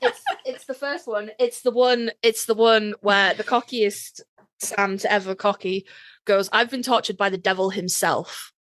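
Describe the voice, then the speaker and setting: A woman co-hosting a podcast, chatting casually as a fan in an animated, emphatic, joking way with plenty of swearing. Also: mid-range voice, unhurried, dry recording. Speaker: podcast co-host. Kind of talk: casual fan chat about a TV series.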